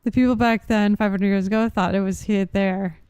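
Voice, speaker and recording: A woman's voice: medium pitch, fairly even intonation, fairly quick, darkish tone, clean tone, dry recording. A woman giving casual live-stream gameplay commentary, musing aloud in an informal way. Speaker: game streamer.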